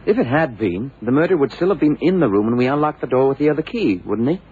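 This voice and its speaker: An actor playing a brisk, plain-spoken detective's assistant in an old radio drama, informal and direct. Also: male voice, mid-range voice, expressive, breathy edge. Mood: insistent. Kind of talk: 1960s-70s radio drama acting. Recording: muffled old radio broadcast, faint hiss.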